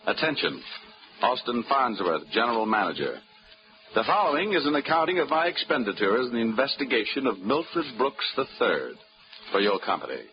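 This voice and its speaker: A man's voice: mid-range voice, sing-song, brisk, close to an everyday voice. A man playing a breezy, wisecracking insurance investigator in a 1940s radio drama. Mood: dry narration. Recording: dull old radio recording with music.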